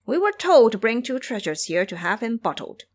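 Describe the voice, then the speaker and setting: A naive little demon imp acted by a woman in an audio drama. He is an eager young servant, earnest and excitable, pitched high with swooping intonation. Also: moderate pace. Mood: chatty, proud. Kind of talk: audio drama character acting.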